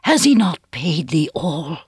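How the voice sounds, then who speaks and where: Reader doing a proud anguished storybook woman, solemn old-timey pleading, lively pitch, bit husky. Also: a little slow, no echo, clean studio sound, heavily acted. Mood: reproachful. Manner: solemn, archaic, pleading speech. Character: anguished, resolute, dignified woman.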